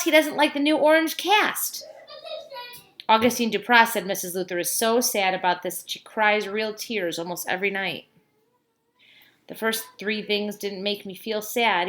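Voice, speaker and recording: Bright-voiced woman reading a children's book aloud. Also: mid-range voice, moderate pace. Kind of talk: read-aloud storytelling with casual asides.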